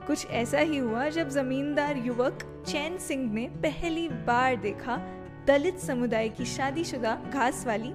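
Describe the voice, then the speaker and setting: A woman presenting a scripted introduction to an audio story series. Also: sits high. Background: music.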